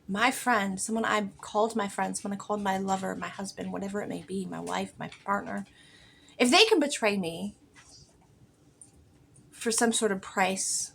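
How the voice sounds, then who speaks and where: A woman's voice: medium pitch, fairly bright tone. An American woman giving an informal, reflective spiritual monologue as a podcast host, speaking earnestly and leaning on key words.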